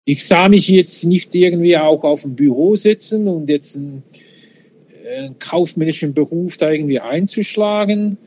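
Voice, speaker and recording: A man's voice: on the high side, normal speed, a little mellow. A man in his fifties from Switzerland, not a native German speaker, reminiscing casually and candidly as an interview guest. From Switzerland, born in Czechoslovakia. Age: 50s.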